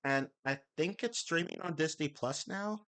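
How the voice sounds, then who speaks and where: A man's voice: on the high side, normal speed, fairly bright tone. A young man chatting casually on a live stream, reading and answering chat messages. He rambles, corrects himself and swears casually. His voice is a little off, left over from a cold. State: slightly off voice after a cold.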